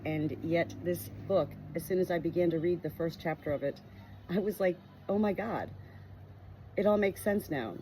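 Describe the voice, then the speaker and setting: A woman's voice: lowish voice, moderate pace, dark tone, slight background noise, low-quality recording. Earnest woman reading a faith book aloud. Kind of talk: reading a nonfiction book aloud with personal reflection.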